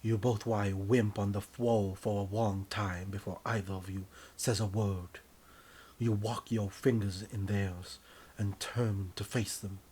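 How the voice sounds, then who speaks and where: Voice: deep voice, fairly even intonation, measured pace, mellow, husky. A narrator reading their own comic story in a mock-serious, theatrical, scripted style.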